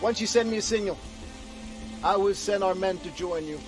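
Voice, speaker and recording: Actor as an earnest rebel leader, fairly high, lively intonation, measured, music under the game cutscene. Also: male voice, lightly acted. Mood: resolute. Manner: earnest, weighs his words, uses French Creole proverbs. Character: earnest maroon leader of freed slaves. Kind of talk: video game cutscene acting.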